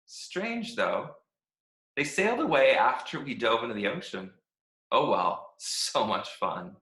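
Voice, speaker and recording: A man reading a children's storybook as a cheerful, oblivious talking stick, gushing with naive excitement. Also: medium pitch, measured pace. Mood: gleeful. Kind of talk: animated children's storybook read-aloud. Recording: echoey room.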